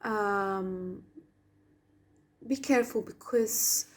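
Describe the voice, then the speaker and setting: Woman, Argentine Spanish accent, native Spanish speaker, unhurried delivery, mid-pitched, soft whispery spiritual talk. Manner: slow, soft, drops to whispers on some words. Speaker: spiritual channel. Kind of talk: spiritual message to viewers.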